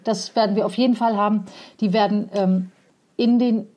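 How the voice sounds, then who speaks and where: Mellow-voiced woman, hesitant and frank. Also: mid-range voice, steady tone, normal speed. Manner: thoughtful, hesitant, many fillers, frank. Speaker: guest, cycling and city mobility advocate. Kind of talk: casual interview answers.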